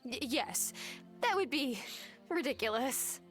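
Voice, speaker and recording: High, animated young novice witch. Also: takes it slowly, faint music behind, heavily acted. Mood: incredulous. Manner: hesitant, expressive, questioning. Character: young female novice witch, eager, wry, incredulous. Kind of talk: video game character acting.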